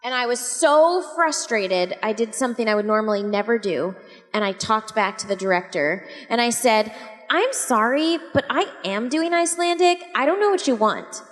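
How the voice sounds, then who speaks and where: A woman voice actor on a convention panel, telling stories in a lively, expressive way with quoted dialogue. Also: a little high, normal speed, faint music behind. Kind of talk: animated anecdote telling. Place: large convention hall. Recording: echoey convention hall.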